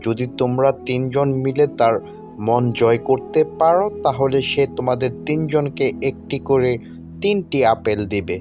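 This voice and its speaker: A man's voice: mid-range voice, measured pace, close to an everyday voice. A man playing an earnest, worried village farmer and husband in a Bangla cartoon. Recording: music under the voice.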